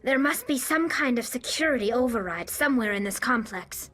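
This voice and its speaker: A woman playing a wary, practical soldier squadmate in a sci-fi game, plain-spoken and brisk. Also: fairly high pitch, expressive, a bit bright, faint music behind.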